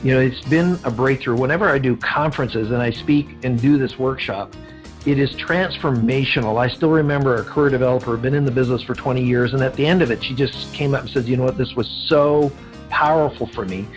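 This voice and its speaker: A man's voice: medium pitch, brisk, soft background music, poor audio. A man with a Canadian accent, speaking conversationally as a podcast host and interviewer.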